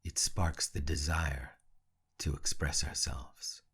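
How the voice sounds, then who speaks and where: A man leading a guided meditation in a hushed, soothing, gravelly and husky voice, leaving long pauses between short phrases. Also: rumbling low, steady tone. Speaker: meditation teacher.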